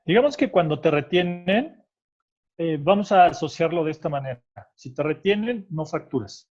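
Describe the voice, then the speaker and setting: A man's voice: on the high side, animated, moderate pace, darkish tone, muffled recording. A man with a Mexican Spanish accent patiently explaining tax rules in conversation, checking often that he is understood. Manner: patient, explanatory, adds "¿ok?" after points. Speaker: tax expert guest.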